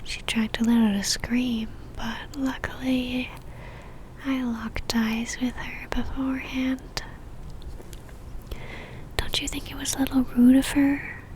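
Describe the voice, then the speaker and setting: A woman playing an obsessive, seductive female vampire in a whispered ASMR roleplay, speaking intimately with a teasing, soft menace. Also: sits high, flat delivery, takes it slowly, long pauses, a little hiss or hum, rough recording. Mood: calmly menacing.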